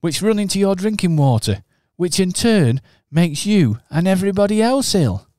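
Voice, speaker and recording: A man acting in a local radio pantomime, earnest and expressive in his storytelling. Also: sits high, moderate pace, no echo, clean studio sound. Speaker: pantomime narrator and actor.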